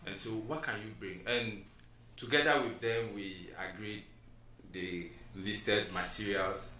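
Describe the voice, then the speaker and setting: Bright-toned man from Ghana. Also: fairly low pitch, slow. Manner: earnest, steady explanatory talk. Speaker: panel guest. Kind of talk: explaining his community work. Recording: echoey room from a distance, faint noise.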